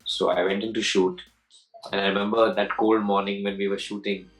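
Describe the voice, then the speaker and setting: Low-voiced man in his forties, chatty. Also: flat delivery, a little fast, soft background music, rough recording. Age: 40s. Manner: rambling, restarts and repeats words, laughs easily. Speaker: actor being interviewed. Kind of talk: casual interview answers.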